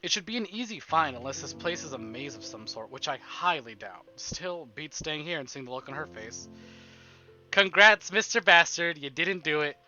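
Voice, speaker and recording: A man's voice: on the high side, animated, moderate pace, pushed and bright, lo-fi sound. A man livestreaming a visual novel, reading the narration expressively and breaking into theatrical, exasperated asides. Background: game music.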